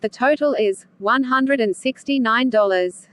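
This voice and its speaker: A woman's voice: a little high, unhurried, no room sound. Woman reading a cashier's lines, dry recording. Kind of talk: scripted dialogue example.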